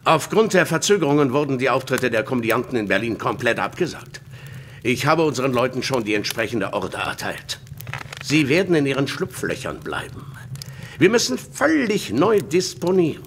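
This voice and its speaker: Audio drama villain: male conspirator chief, stern, formal, authoritative, lively intonation, slight background noise. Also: medium pitch. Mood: commanding. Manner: formal, deliberate, authoritative briefing.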